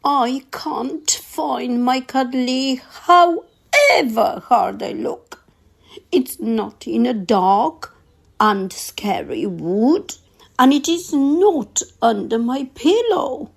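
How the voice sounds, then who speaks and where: A whiny, self-pitying monster from a picture book, acted out by a woman. The words are stretched into slow, wailing complaints that rise and fall sharply. The voice is husky and sounds close to tears. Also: sits high, expressive, voice pushed up, heavily acted. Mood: tearful, wailing. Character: whiny, needy, tearful storybook monster Thing, male.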